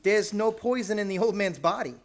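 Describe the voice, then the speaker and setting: A man's voice: high voice, brisk. A man playing an eager, deferential but persistent police sergeant in an old-time radio drama. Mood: insistent. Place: theater stage, performed live.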